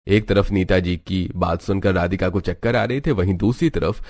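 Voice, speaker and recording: A man's voice: low voice, normal speed, darkish tone, dry recording, studio quality. A man narrating a scripted moral story as a storyteller.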